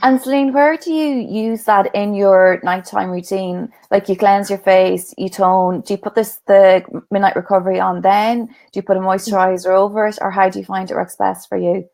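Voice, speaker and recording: A woman's voice: mid-range voice, steady tone, normal speed, vocal fry, few pauses, darkish tone. An Irish woman hosting a casual chat, asking a guest short follow-up questions. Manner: brief encouraging prompts. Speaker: host interviewer. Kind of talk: casual interview.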